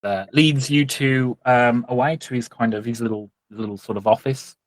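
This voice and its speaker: A man's voice: mid-range voice, moderate pace, dry recording. A man working as game master, improvising narration for a tabletop roleplaying game.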